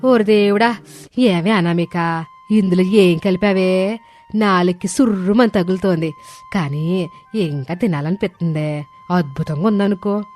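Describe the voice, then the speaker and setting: A woman voicing a nosy older village neighbour with a sharp, exclaiming, rustic way of talking in a cartoon moral story. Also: fairly low pitch, unhurried, pauses often, faint music behind, lightly acted. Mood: delighted. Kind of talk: dramatised moral story dialogue.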